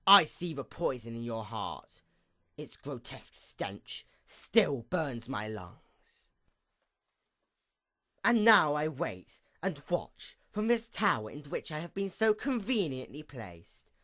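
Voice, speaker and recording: A performer acting out a bitter pony goddess of the night, female and vengeful. The delivery of this villain monologue is grandiose and formal, with a mid-pitched voice that sweeps widely between fierce outbursts and hushed menace. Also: big pitch swings, slow. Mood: scornful, brooding. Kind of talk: dramatic reading, theatrical villain monologue.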